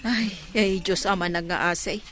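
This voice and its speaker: A woman's voice: lowish voice, fairly even intonation, a little slow, a bit bright. A woman acting a worried, exclaiming village auntie in a radio drama, with an Ilocano accent. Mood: alarmed, fretting.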